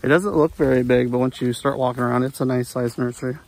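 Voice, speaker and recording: A man's voice: mid-range voice, steady tone, quick pace, vocal fry. A man in his thirties talking casually as a vlogger while filming himself outdoors. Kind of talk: casual vlog narration. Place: outdoors at a farm nursery. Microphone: handheld phone at arm's length. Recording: faint outdoor background noise.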